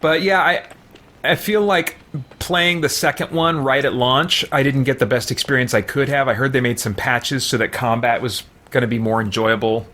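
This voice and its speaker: A man's voice: mid-range voice, steady tone, moderate pace, pushed and bright. Casual man thinking aloud as he games. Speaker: gamer playing and commenting on a role-playing game. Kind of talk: casual let's play commentary.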